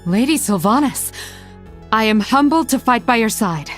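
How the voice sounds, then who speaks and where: An actress voicing a proud, sardonic blood elf rogue for a video game, with a haughty, cutting manner. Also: female voice, on the high side, lively intonation, normal speed, soft background music, lightly acted. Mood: respectful. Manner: haughty, cutting, dry teasing. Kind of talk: video game hero voice lines.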